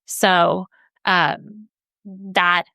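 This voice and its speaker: A woman's voice: fairly low pitch, little pitch movement, very slow, vocal fry, bright, forceful tone, dry recording. A woman from Sweden who grew up there and now works in HR, answering interview questions in a hesitant way with many fillers and restarts. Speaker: HR guest.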